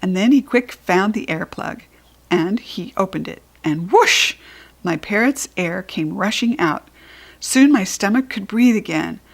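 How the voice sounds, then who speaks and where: A woman's voice: mid-range voice, expressive, unhurried, vocal fry. A woman with an American accent narrating a children's book expressively as a storybook reader.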